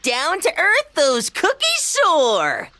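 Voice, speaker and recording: Cheeky, high-pitched little trickster creature. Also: female voice, huge pitch swings, slow, sharp tone, full character voice. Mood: teasing. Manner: teasing, theatrical, singsong. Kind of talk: cartoon game acting.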